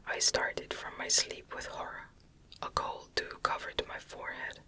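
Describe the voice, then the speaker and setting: Breathy whisper, unhurried pace, crisp sibilant edge, close-miked, gothic audiobook reading, ASMR. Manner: slow, deliberate, soft delivery. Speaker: ASMR narrator reading a novel aloud.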